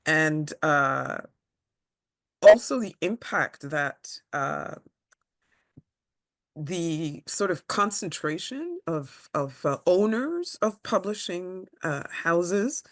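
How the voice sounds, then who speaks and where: A woman from Kenya, interviewing a guest over a video call, halting as she searches for words. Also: lowish voice, sing-song.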